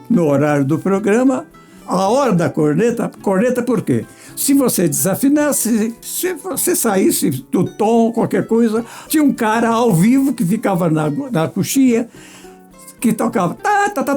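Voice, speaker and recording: A man's voice: sits high, mellow. An older man with a Minas Gerais Brazilian accent, reminiscing and acting out his stories as a veteran radio performer. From rural Minas Gerais, Brazil. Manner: acts out dialogue and sounds. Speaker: veteran radio singer and actor. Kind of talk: animated reminiscing, storytelling.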